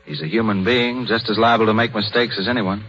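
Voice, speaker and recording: An actor playing a young, idealistic, self-sacrificing surgeon with a wry bitterness in a 1930s radio drama. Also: male voice, fairly low pitch, steady tone, fairly quick, a bit bright. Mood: tipsy, wry. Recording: muffled 1930s radio broadcast with music.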